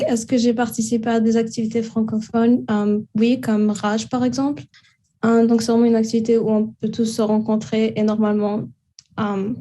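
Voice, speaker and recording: A teenage girl, a high school student, speaking in a steady, measured way with occasional fillers. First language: French and Arabic household. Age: teens. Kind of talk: spoken testimony.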